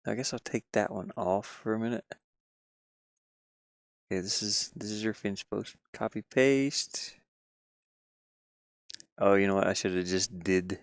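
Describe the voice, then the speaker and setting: Male game streamer, low gravelly voice, loose rambling chat, close and dry. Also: measured pace, pauses often, no room sound. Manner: rambling, mutters to himself while working. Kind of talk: casual live-stream chat.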